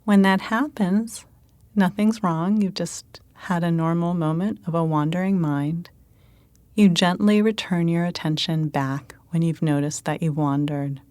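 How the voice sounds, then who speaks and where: Female meditation guide: calm, gentle, very unhurried, slightly low voice, dry intimate recording. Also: dry recording.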